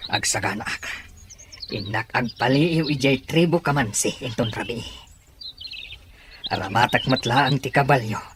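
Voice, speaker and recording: Man acting a resolute tribesman, mid-pitched. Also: normal speed, long pauses, close to an everyday voice. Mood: brooding, determined. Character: resolute tribesman plotting to protect his people. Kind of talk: amateur drama monologue. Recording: noisy outdoor recording with birdsong.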